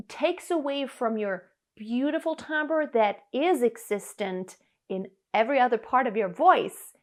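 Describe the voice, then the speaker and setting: A woman in her thirties from Germany, a vocal coach giving an earnest, encouraging singing lesson to camera in a living room. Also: fairly high pitch. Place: living room in front of an upright piano.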